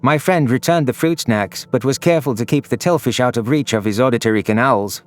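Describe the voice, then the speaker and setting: A man narrates a sci-fi story in a scripted, first-person style. His voice is mid-pitched, with faint music running underneath. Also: brisk. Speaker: audio drama narrator.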